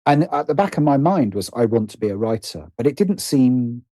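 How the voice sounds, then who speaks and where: Mellow British man, thinking aloud. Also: mid-range voice, sing-song, a little fast, dry recording, studio quality. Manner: hesitant, self-interrupting, thinking aloud. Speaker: interview guest, writer. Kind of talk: conversational personal storytelling.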